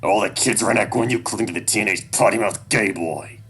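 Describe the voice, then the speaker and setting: A man's voice: lowish voice, fairly quick, fairly bright tone, soft background music, voice pushed up, natural voice. A man voicing a stern, scornful animal father in an audio story, harsh, commanding and accusatory. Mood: disapproving, accusing.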